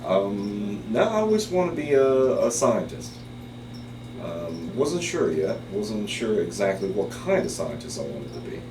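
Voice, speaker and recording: A middle-aged professor from Taiwan, Chinese his first language, recounts his life in a hesitant, filler-laden way. His voice sits very low. The recording is echoey and distant, with a steady hiss. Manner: hesitant, many fillers, unhurried. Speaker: biology professor. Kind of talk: interview storytelling. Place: office. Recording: roomy, off-mic, with background hiss.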